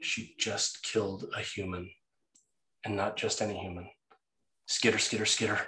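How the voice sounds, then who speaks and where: A man's voice: lowish voice, flat delivery, normal speed, bright, forceful tone, a little hiss or hum. Echoey man narrating a screenplay. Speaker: narrator reading stage directions. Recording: roomy, echoey sound.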